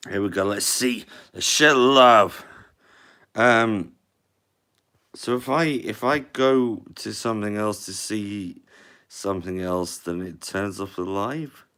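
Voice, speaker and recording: A man hosting a casual live stream in a monotone voice, with many trailing fillers. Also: lowish voice, takes it slowly, long pauses, clear, resonant tone. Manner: rolling a cigarette while talking. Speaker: livestream host.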